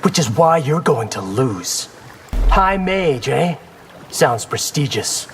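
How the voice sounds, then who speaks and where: A man's voice: mid-range voice, big pitch swings, takes it slowly, a little hiss or hum. A man playing a young ninja warrior in a fighting game, wry, cocky and casual. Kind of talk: fighting game character acting.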